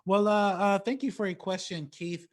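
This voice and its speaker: A man's voice: high-pitched, steady tone, normal speed. A man hosting a casual movie talk show, slangy and emphatic, often stammering and repeating his words as he gives opinions.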